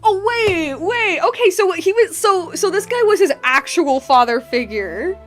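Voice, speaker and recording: A woman streaming a video game with casual, slangy, excitable commentary. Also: very high-pitched, measured pace. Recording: music behind the voice. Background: game music.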